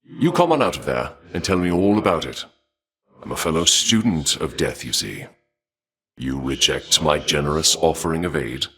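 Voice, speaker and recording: Video game war god: low-voiced, suave and bloodthirsty, formal archaic delivery, deliberate and courteously menacing. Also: male voice, expressive, fairly bright tone, slightly rough, exaggerated voice. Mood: coolly menacing. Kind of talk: theatrical video game character dialogue.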